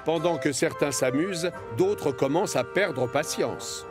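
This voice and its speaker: A man's voice: fairly high pitch, unhurried, loud music behind the voice. A man narrating a children's cartoon in French, telling the story expressively.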